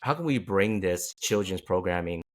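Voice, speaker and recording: A man's voice: deep voice, animated, moderate pace. A man hosting a casual interview, rambling with many restarts and fillers. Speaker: podcast host.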